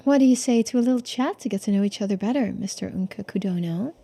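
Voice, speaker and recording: A woman voicing a poised, unflappable female investigator in a video game, polite and gently needling in measured, formal phrases. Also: mid-range voice, creaky, slight background noise, close to an everyday voice. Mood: coyly probing. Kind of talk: game character voiced in a playthrough.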